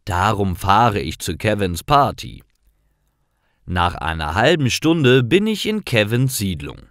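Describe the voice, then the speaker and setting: A man narrating scripted stories for language learners in deliberate, clearly separated short sentences. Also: lowish voice, big pitch swings, a bit bright, dry recording, studio quality.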